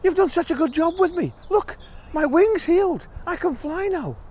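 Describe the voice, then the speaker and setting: A man voicing a kind, eager female bird who gushes and pleads excitedly, in a storybook telling. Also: extremely high pitch, normal speed, voice pushed up. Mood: grateful.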